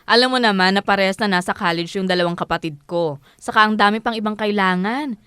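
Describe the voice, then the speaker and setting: A woman's voice: medium pitch, fast, fairly bright tone, clear, resonant tone, no echo, clean studio sound, natural voice. A woman playing a patient, overworked breadwinner in her thirties in a colloquial Filipino audio drama. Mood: weary, resigned. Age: 30s. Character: overworked, self-sacrificing breadwinner daughter, thirty-three, patient but weary. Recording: music under the voice.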